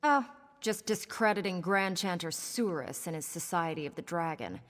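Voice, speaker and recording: A woman's voice: lowish voice, expressive, moderate pace, fairly bright tone, in a large hall. An actress playing a scheming, cynical pirate woman in a video game, with a sardonic, conspiratorial manner. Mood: sly, cynical. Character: scheming roguish female pirate, sly and cynical. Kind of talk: video game character dialogue.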